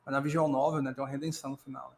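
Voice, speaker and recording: A man with a Brazilian accent in casual, critical chat, talking in a halting, dismissive way. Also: medium pitch, flat delivery, vocal fry, a little hiss or hum. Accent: Brazilian Portuguese. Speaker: reaction stream co-host.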